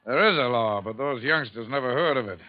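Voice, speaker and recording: An actor playing a brisk, commanding fire lieutenant in a 1950s radio drama, old recording. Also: male voice, medium pitch, close to an everyday voice. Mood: steady. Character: firm fire lieutenant, steady man in command. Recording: dull old radio recording with faint music.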